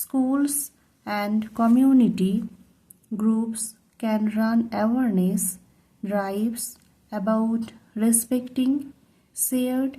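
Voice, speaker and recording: A woman tutor reading a model letter aloud as a lesson, in deliberate word-by-word, dictation-style phrasing. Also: fairly high pitch, fairly even intonation, mellow, low-quality recording. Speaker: English tutor.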